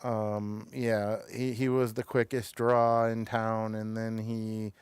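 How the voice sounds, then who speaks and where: Halting man hosting a casual review show. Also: fairly low pitch, completely flat delivery, a little mellow, smooth, dry recording. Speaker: show host reviewing television episodes.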